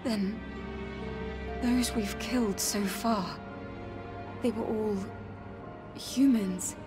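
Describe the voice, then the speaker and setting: An actress playing a serious, pensive young female android soldier in a video game, speaking soberly and deliberately. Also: mid-range voice, far from the mic, over music. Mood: grave realization. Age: young adult. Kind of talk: video game cutscene acting.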